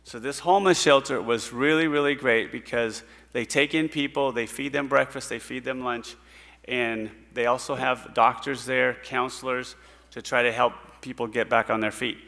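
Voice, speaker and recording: Man, middle-aged, from Puerto Rico, bright forceful tone, echoing church hall, earnest storytelling. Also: mid-range voice, steady tone, creaky. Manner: earnest, storytelling, pauses with fillers. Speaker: missionary. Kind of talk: mission report to a congregation. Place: church sanctuary stage.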